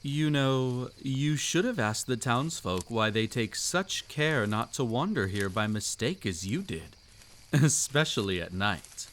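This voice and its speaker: Man playing a slow-talking, seductive vampire count. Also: medium pitch, animated, a bit bright, a little hiss or hum. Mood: commanding, teasing. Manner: slow, suave, teasing, theatrically formal and possessive. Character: seductive, commanding vampire count, ancient but youthful-looking man. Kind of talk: intimate roleplay audio drama, scripted monologue.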